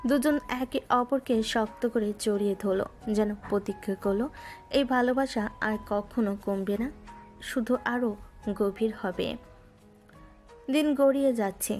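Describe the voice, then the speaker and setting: A woman narrating a romantic audio story in a gentle, scripted storytelling style. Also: mid-range voice, steady tone, moderate pace. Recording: music bed underneath.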